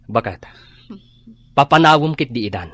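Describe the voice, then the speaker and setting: An indignant, blunt fellow in an Ilocano radio drama. His voice sits at a medium male pitch. He speaks reproachfully with an Ilocano accent. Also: animated, normal speed, fairly bright tone, dry recording. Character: indignant, blunt man confronting an older woman boarder.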